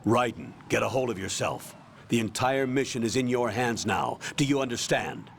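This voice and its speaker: A stern military colonel in a video game, issuing orders over the radio. He speaks at a mid pitch, firm and calm, and faint noise sits behind his voice. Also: moderate pace, creaky. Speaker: radio commander. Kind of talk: video game cutscene acting.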